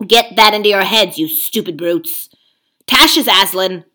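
A woman's voice: medium pitch, a little slow, bright, forceful tone. A woman reading aloud, voicing a scheming, domineering male ape who snarls and hisses at the other animals. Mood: hissing scornful.

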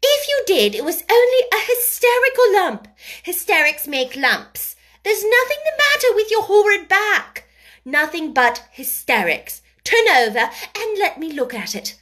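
A savage little storybook girl, acted out by a woman, her voice pitched high and swinging sharply. She scolds and gives orders fiercely, hammering each word home like a small, cross tyrant. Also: big pitch swings, unhurried, fairly bright tone, heavily acted. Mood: furious, scolding. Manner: scolding, commanding, emphatic.

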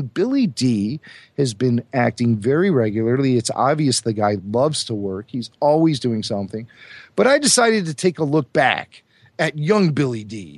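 A man co-hosting a podcast, hoarse from a cold, chatting enthusiastically about film trivia. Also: fairly high pitch, no echo. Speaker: podcast co-host. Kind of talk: casual chat.

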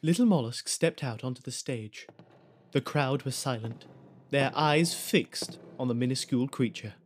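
A man's voice: mid-range voice, moderate pace. A man giving scripted storytelling narration for an audio drama.

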